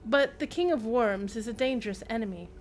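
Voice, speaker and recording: A woman's voice: on the high side, a little fast, a little hiss or hum, close to an everyday voice. A woman voicing a pompous old imperial chancellor, declaiming his journal aloud from a fantasy game. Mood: self-important. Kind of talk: dramatic read-aloud of in-game text.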